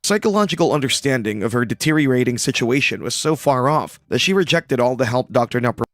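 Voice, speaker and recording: A man's voice: mid-range voice, expressive, quick pace, a bit bright, dry recording. Man narrating a grave documentary.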